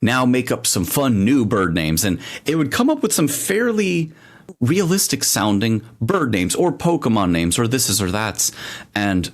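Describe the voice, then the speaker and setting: A man's voice: medium pitch, a little slow. A man co-hosting a podcast, chatting casually and telling rambling stories full of asides. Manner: rambling, animated storytelling with frequent asides. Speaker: podcast co-host.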